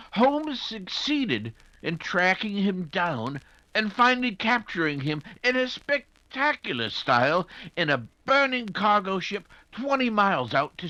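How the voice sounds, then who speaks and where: An actor playing a genial, flustered English doctor who tells stories hesitantly in the manner of 1940s radio drama. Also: male voice, high voice, pushed and bright, no room sound, exaggerated voice, poor audio. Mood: genial reminiscing. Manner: hesitant, fussy, avuncular. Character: genial, flustered English doctor narrating his detective friend's adventures.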